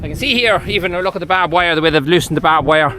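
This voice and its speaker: Irish man, farmer, fairly high voice, casual down-to-earth commentary, very loud outdoor cattle noise. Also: fast. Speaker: farmer and farm vlogger. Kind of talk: casual vlog commentary while working. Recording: very noisy outdoor farm, cattle mooing.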